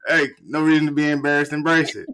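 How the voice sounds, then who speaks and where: A man hosting a casual interview, relaxed and upbeat, chuckling along with his guest. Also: a little high, quick pace, smooth. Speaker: interview host.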